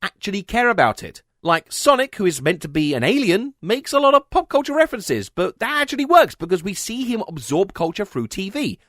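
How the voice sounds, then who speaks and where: Animated, sardonic male video narrator. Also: high-pitched, brisk, hardly any pauses, no echo, studio quality. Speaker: video essay host. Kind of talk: scripted comedic video essay narration.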